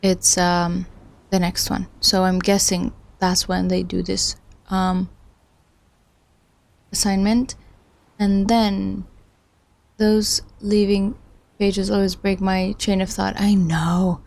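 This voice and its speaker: Slow, gravelly woman streamer musing aloud. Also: mid-range voice, level tone, a little mellow. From Iraq. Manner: musing, self-deprecating, chats with viewers. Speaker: livestream host studying along. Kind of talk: casual thinking aloud while following a lecture.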